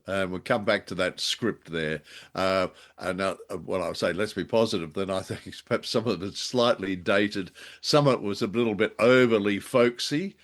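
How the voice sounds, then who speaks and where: A man's voice: on the low side, moderate pace. A man co-hosting a podcast in casual review chat, with a wry, jokey way of talking. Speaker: podcast co-host.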